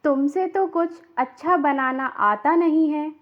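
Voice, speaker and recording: A woman voicing a reproachful, sharp-tongued older mother-in-law who scolds and taunts in a cartoon moral story. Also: sits high, slow pace, lo-fi sound.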